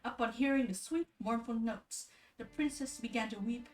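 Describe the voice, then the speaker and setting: A woman streamer reading stories and commenting casually, with an informal, halting, rambling way of talking. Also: on the high side, darkish tone, recorded from a distance. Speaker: streamer reading folktales aloud. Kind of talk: casual livestream storytelling and commentary.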